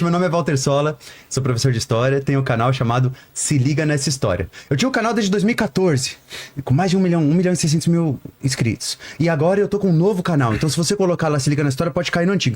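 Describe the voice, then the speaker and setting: A man with a somewhat high voice speaks fast and upbeat. He is a history teacher introducing himself in a relaxed podcast chat.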